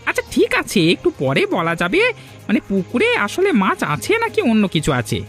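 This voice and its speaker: Cartoon casting: male actor as naive young villager, very high voice, quick and eager. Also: huge pitch swings, a bit bright, faint music behind, exaggerated voice. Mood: eager, questioning. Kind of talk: Bengali cartoon voice acting.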